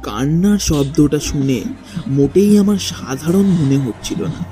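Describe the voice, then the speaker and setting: A man narrating a first-person ghost story in a dramatic, suspenseful way with deliberate pauses. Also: on the high side, expressive, mellow. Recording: faint music behind the voice. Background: faint background music.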